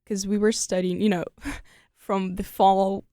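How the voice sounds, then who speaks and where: A woman's voice: mid-range voice, level tone, takes it slowly, warm tone, no echo, clean studio sound. A young woman from Ukraine with a Ukrainian accent, a podcast guest talking casually with lots of "you know" and "like". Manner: chatty, many fillers like "you know" and "like". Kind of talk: casual conversational interview.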